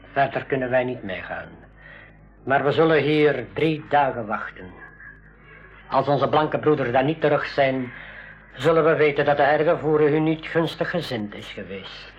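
A man's voice: mid-range voice, close to an everyday voice. Man acting a solemn native guide, muffled sound. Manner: stately, formal phrasing. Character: solemn native guide speaking formally of sacred things. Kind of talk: 1980s school radio play acting.

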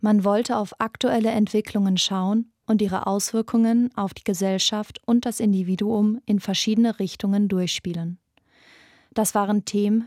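A woman presents a podcast in scripted documentary narration. Her pitch is medium and the recording is very dry. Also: mellow, dry recording.

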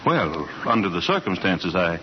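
A man's voice: deep voice, big pitch swings, moderate pace. An actor playing a polite, sympathetic, fatherly boss in a 1940s radio drama. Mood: kindly, hesitant. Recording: noisy muffled 1940s radio broadcast.

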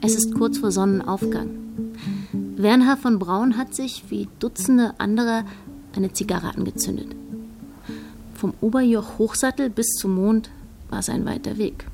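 A woman's voice: fairly high pitch, measured pace, vocal fry, over music. A woman playing a composed chronicler, narrating a radio drama in a poetic, literary style. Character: composed female chronicler of a space landing.

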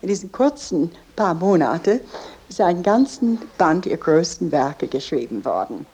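Husky, low-voiced woman guide. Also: sing-song, unhurried, warm tone. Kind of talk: spoken historical explanation.

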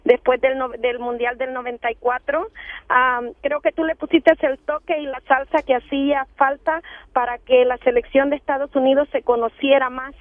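A woman's voice: high voice, level tone, normal speed, dry recording. A woman from El Salvador with a Salvadoran Spanish accent, calling in to a radio show and chatting in a rambling, emphatic way. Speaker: caller. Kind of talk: call-in chat on radio. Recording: dull lo-fi phone line.

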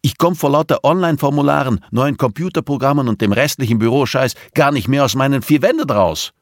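An irascible, defiant investigator in an audiobook scene, acted out by a male reader. He pushes his words out insistently at a raised pitch, with sardonic contempt. The sound is very dry. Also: fairly quick, no room sound, natural voice. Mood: disgusted. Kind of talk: audiobook character dialogue.

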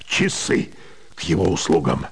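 A man's voice: very deep voice, moderate pace, husky. A man narrating a scripted story in a theatrical radio style.

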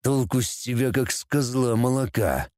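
A man's voice: mid-range voice, normal speed, darkish tone, no room sound, studio quality, natural voice. A man voicing an irritable devil king and father in a storybook reading, growling and grumbling in exasperation. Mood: growling anger.

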